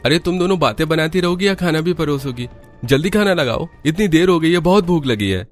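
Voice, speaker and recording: A man voicing a brisk, plain-spoken young village farmer in a cartoon. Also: a little high, animated, faint music behind, natural voice. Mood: impatient.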